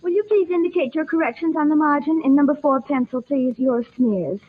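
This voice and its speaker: A woman's voice: sits high, brisk, narrow, tinny audio, a little hiss or hum, close to an everyday voice. An actress playing a prim, fussy, flustered young secretary smitten with her boss, wordy and breathless, in 1940s radio style. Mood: prim, reproachful. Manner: primly wordy, breathless chatter. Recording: band-limited old radio broadcast recording.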